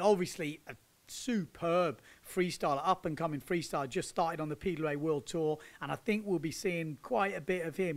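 Chatty man, fairly high voice. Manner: chatty, laughing, British slang. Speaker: windsurfing vlog host. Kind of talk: casual chat with commentary over surf footage. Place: indoors, sitting on a sofa. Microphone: handheld microphone.

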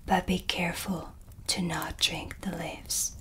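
A woman playing a calm, mysterious dark witch with dry, teasing menace, in a hushed, close-miked ASMR roleplay. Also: on the low side. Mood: dryly teasing. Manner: slow, deliberate, hushed, dryly teasing. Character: dark witch, female, mysterious, sardonic, calm teacher of shadow magic. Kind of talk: soft-spoken whispered ASMR roleplay. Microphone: close studio mic for ASMR.

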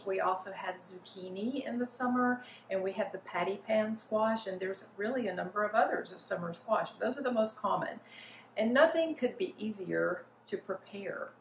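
Older lady teaching cooking in her kitchen, mid pitch, echoey room, camera a few feet off. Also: a little hiss or hum. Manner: friendly explanatory teaching, gestures while talking. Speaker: cooking instructor. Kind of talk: cooking demonstration. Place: home kitchen indoors. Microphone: camera at mid distance. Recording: roomy kitchen echo.

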